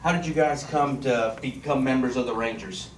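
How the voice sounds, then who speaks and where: A man moderating a panel at a club meeting, brisk and organized. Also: mid-range voice. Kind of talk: hosting a panel Q&A. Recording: echoey room, far from the mic, noisy.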